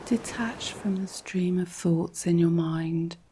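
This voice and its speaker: A woman reading a scripted guided relaxation in a calm, measured, instructional way, with a soft, breathy voice. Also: on the low side, slight background noise. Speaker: guided meditation narrator.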